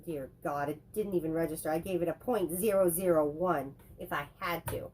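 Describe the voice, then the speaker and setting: A woman co-hosting a relaxed movie chat while fighting a head cold, her voice stuffy and congested. She rambles and jokes as she goes, never sticking to one point for long. A faint hum of noise sits behind her. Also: sits low, poor audio. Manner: rambling, chatty, quick to joke. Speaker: co-host. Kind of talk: casual chat reviewing movies.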